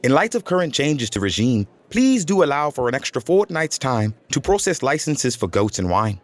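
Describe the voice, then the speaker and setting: A man's voice: medium pitch, very animated, moderate pace, no echo, lightly acted. A man playing a pompous medieval court official, formal and declamatory in mock-Shakespearean speech. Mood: officious, grand.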